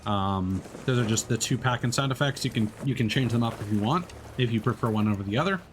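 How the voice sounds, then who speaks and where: A man's voice: medium pitch, fast, noisy background. A man streaming a game, chatting casually and explaining as he plays, with lots of fillers and restarts. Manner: rambling, many fillers and restarts. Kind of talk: casual gameplay commentary.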